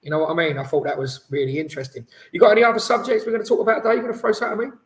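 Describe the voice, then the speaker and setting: A man co-hosting a casual football podcast, talking in a rambling, animated way with many restarts. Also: high-pitched, very fast. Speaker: podcast co-host. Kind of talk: casual chat. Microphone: headphones on, studio mic.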